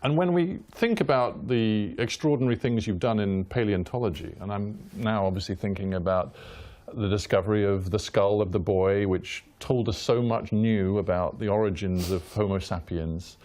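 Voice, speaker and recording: A man's voice: fairly low pitch, normal speed, darkish tone. A man hosting a hard-hitting broadcast interview, asking blunt, probing questions.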